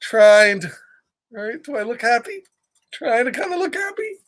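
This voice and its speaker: A man's voice: very high voice, normal speed. Playful man reading to children. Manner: animated, playful asides, greets listeners by name, asks questions. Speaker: storybook read-aloud host. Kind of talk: live children's story time, chatting with viewers between lines of the book.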